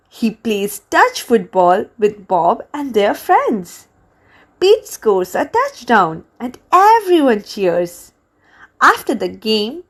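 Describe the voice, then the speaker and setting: A woman reading a children's storybook aloud in an expressive, deliberate way meant for young listeners. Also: a little high. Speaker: children's book reader.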